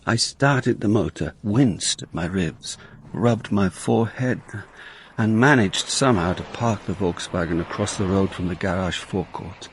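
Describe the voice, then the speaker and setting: An actor playing a dogged, dry police inspector in a radio crime drama, curt in questioning and measured in narration. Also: male voice, deep voice, slight background noise. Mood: wry, reflective.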